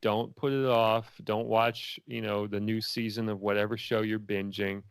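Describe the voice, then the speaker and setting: A man's voice: on the low side, flat delivery, normal speed, vocal fry, clear, resonant tone, dry recording. A man chatting casually as an interview guest, informal and buddy-like, often repeating words for emphasis. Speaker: podcast guest, independent filmmaker.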